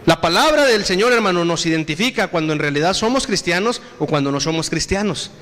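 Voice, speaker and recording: A man with a Mexican Spanish accent preaching a sermon. He addresses the congregation again and again and reads scripture slowly and with emphasis. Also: high voice, expressive, a bit bright, slight background noise. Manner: preaching with repeated appeals to the congregation, slow emphatic scripture reading. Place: church hall. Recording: reverberant hall.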